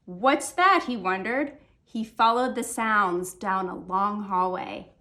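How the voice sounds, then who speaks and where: A woman reading a children's picture book aloud, phrasing deliberately with dramatic emphasis. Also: medium pitch, sharp tone. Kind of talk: animated children's story read-aloud.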